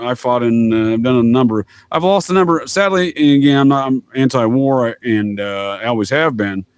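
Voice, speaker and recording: A man's voice: fairly low pitch, dry recording. A man talking casually as a podcast guest, telling stories in a rambling, mock-formal way. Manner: rambling, mock-formal, addresses listener as sir. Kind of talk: casual conversational storytelling.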